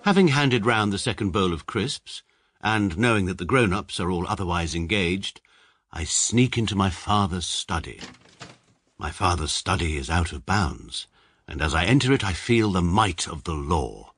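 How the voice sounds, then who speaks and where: A man's voice: low voice, expressive, fairly bright tone, husky, no echo, exaggerated voice. An actor with an English accent playing a precise, pompous barrister who narrates his own story in a radio drama. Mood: dry. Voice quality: measured, dry, pedantic. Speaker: narrator, adult lead character.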